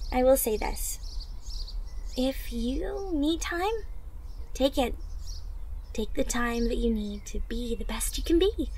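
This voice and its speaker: A young woman playing a caring, encouraging teenage superhero in training, speaking gently and earnestly in an anime-inspired ASMR roleplay. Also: a little high. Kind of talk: ASMR roleplay, acted monologue to listener. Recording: noisy ambience with birdsong. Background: birdsong, outdoor ambience.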